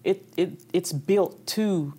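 A young woman from Kentucky, an opera singer being interviewed, talking in a relaxed, reflective way with easy laughs. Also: on the low side, slow pace, husky. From Kentucky, United States. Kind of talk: conversational interview.

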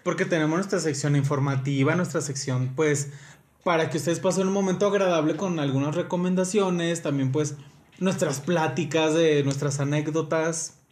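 A man's voice: fairly high pitch, steady tone, normal speed, a little mellow. A man with a Mexican accent, chatting casually and playfully reading aloud as a podcast co-host.

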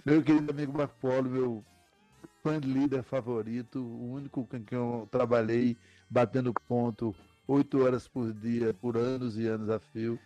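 A man's voice: mid-range voice, flat delivery, normal speed, mellow, dry recording, faint music behind. Chatty older man hosting a podcast. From Paraíba, Brazil. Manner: chatty, rambling with restarts. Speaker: podcast host. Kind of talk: casual interview chat.

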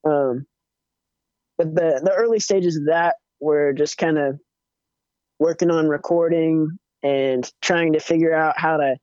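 A young man with a higher-pitched voice, chatting casually as a musician guest with lots of fillers and restarts. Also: smooth, dry recording. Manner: relaxed, rambling, many fillers and restarts. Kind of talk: casual interview chat. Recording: dull, muffled audio.

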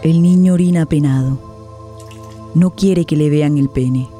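A woman's voice: sits low, measured pace, warm tone, soft background music. A woman narrating a radio play in a dramatic, scripted style.

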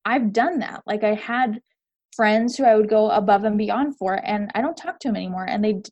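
A woman's voice: medium pitch, normal speed, darkish tone, highs cut off. A young adult woman, a podcast guest, talking earnestly and filling her speech with "like". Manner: earnest, uses "like" a lot. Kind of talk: casual podcast interview.

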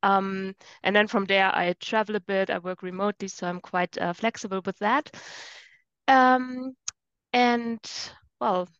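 A woman being interviewed over a video call, speaking thoughtfully with hesitant pauses and fillers. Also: mid-range voice, steady tone, a bit bright, clear, resonant tone, no echo. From Germany. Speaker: guest. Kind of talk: casual interview chat. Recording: muffled dry video call.

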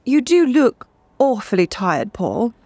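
A woman's voice: on the high side, big pitch swings, takes it slowly, a little mellow, slightly rough, no echo, heavily acted. An actress playing an arch, playful upper-class English society woman of the 1930s in an audio drama. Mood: sympathetic. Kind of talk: full-cast audio drama acting.

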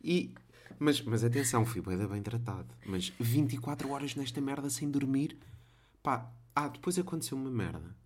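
A man's voice: mid-range voice, animated, normal speed, a little mellow, slight background noise. A man with a European Portuguese accent, a podcast guest telling a story in a laid-back, rambling way with slang. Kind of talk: casual chat.

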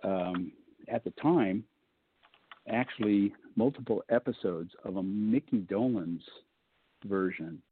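A man with a low baritone voice, a guest on a call-in show, telling a story casually and joking along the way, with many fillers. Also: slow, husky, dry recording. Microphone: phone. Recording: thin phone line.

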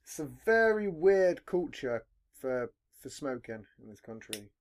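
A man with a deep, heavy voice hosting a podcast, chatting in a laid-back, rambling way and swearing casually. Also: big pitch swings, a little slow. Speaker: podcast host. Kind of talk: casual chat.

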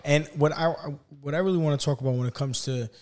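A man hosting a podcast in casual, earnest conversation. His voice is slightly hoarse, and he speeds up when he tells a story. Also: a little high, quick pace, a little mellow. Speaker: podcast host.

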